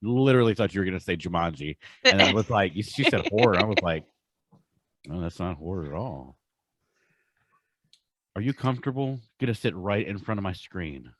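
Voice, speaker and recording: Guy w a lowish voice doing casual podcast talk, slangy n opinionated, really dry close sound. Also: expressive, normal speed, long pauses, no room sound. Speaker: podcast co-host.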